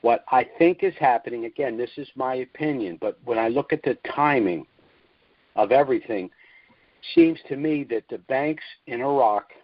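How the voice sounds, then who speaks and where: A man hosting an investment update call, explaining things informally in a measured monologue with emphasis on key words. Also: mid-range voice, no echo. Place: conference call, dry close sound. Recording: dull, lo-fi conference call audio.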